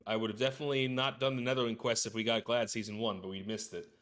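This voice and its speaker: A man's voice: mid-range voice, level tone, fast, fairly bright tone. A man talking casually as a guest on a gaming podcast, using informal gamer slang.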